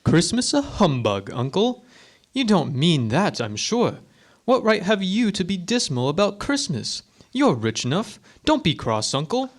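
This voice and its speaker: A man's voice: high voice, huge pitch swings, measured pace, exaggerated voice. A man playing a cheerful, good-hearted young nephew in a radio drama, earnest and hearty. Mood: teasing, cheerful.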